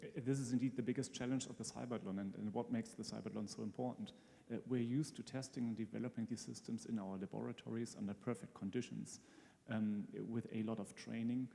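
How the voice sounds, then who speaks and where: A man speaking as a guest expert, explaining technical things in a measured way in a live interview. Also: mid-range voice, fairly even intonation, creaky, dark tone. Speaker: brain-computer interface researcher, guest expert. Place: large hall at a live event. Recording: hall reverb, faint music behind.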